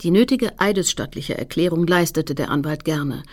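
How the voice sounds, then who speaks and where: An actress playing a determined female activist who recounts her campaign in sober, measured radio-play narration. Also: low voice. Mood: sober recounting. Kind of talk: scripted first-person narration.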